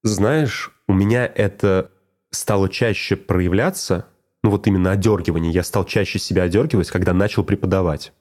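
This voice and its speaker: A man's voice: deep voice, normal speed, darkish tone. A man talking casually and reflectively, often repeating phrases for emphasis. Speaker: teacher of speech exercises.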